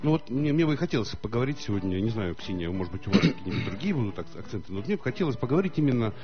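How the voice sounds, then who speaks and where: A man hosting a studio talk show, quick and joking as he questions his guests. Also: medium pitch, very animated, highs cut off, over music.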